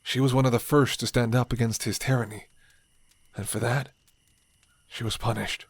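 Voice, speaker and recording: A man's voice: mid-range voice, fairly even intonation, moderate pace, husky, no echo. A man voicing a sardonic rebel leader who mocks an old friend and then pleads earnestly. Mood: grave.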